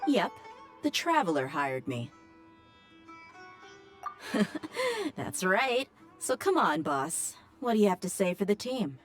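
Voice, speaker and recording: A woman's voice: mid-range voice, sing-song, normal speed, close to an everyday voice. An actress playing a brash, confident mercenary woman in a video game, outspoken, casual and sardonic. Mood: teasing. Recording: music under the voice.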